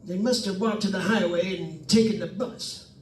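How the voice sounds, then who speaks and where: A man's voice: sits high, normal speed, lightly acted. A man playing a nervous, penny-pinching property owner with a hesitant, stammering manner in an old-time radio suspense drama. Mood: anxious, agitated. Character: nervous, tight-fisted, excitable male property owner. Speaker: radio play performer. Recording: roomy, distant microphone, faint noise.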